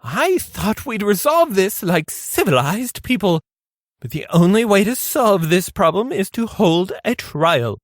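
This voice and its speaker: A man's voice: high voice, big pitch swings, a little slow, no room sound, clean studio sound. A man playing a fussy, prim little gourmet judge in a comedy radio play, precise and theatrical. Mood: exasperated.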